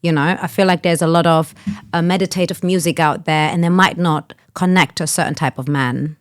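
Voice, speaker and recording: A woman's voice: fairly low pitch, steady tone, a little fast. A woman hosting a podcast, thoughtful and searching for her words as she asks open questions. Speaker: podcast host. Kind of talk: casual podcast conversation.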